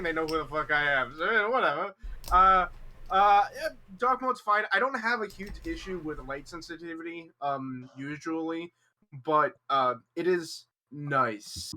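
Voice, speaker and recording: A brash man with a strikingly bright, forceful voice pitched fairly high. He chats casually as a tabletop player and swears freely. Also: animated, normal speed. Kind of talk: casual chat.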